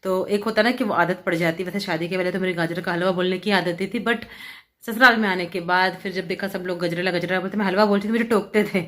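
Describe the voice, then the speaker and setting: A woman's voice: fairly low pitch, fairly even intonation, quick pace, few pauses. A woman vlogger chatting casually to camera at home, telling a story with lots of fillers. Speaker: vlogger, homemaker. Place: at home, seated with hair dryer.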